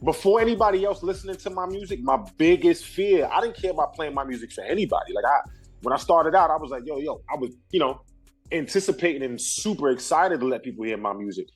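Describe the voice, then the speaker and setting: Husky New man, laid-back storyteller. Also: a little high, lively intonation, quick pace, soft background music. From Brooklyn, New York. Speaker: guest artist. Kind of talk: casual interview talk.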